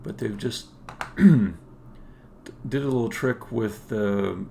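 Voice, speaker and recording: A man with a low, creaky voice talks casually as a developer streaming his work. He feels his way through explanations haltingly, thinking out loud as he goes. Noticeable background noise sits behind his voice. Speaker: game developer, live streamer. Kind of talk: casual live-coding commentary, explaining on a stream.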